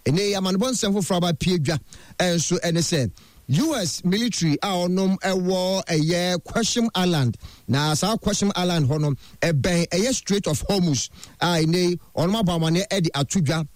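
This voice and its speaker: A man reading the news on the radio in a measured way. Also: high voice, no echo, studio quality.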